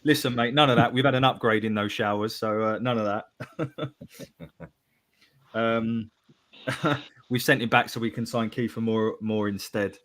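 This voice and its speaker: Man, podcast host, upbeat banter, average pitch. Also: fairly even intonation, a little slow, pauses often, a bit bright. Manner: upbeat, reads out chat messages with laughs. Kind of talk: live football podcast chat.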